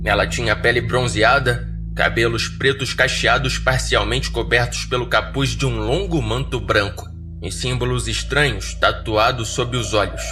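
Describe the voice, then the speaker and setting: Casting: Brazilian male narrator for horror podcast, mid register, scripted dramatic storytelling with clear music bed. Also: medium pitch, moderate pace.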